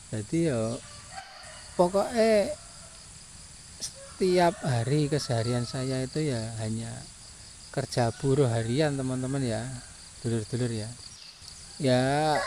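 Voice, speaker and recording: A man from rural Java with a Javanese accent, narrating his daily chores in a casual vlog, often repeating himself and tagging phrases with a questioning filler. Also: mid-range voice. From rural Java, Indonesia. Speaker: village vlogger, farm labourer. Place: outdoors by a goat pen in a village. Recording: noisy outdoor village ambience.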